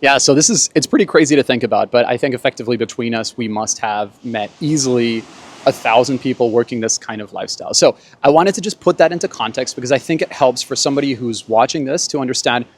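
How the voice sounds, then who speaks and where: A man's voice: medium pitch, quick pace. A man from Germany and Bolivia hosting a panel, talking in a casual, explanatory way. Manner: conversational, explanatory. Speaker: host, panel moderator.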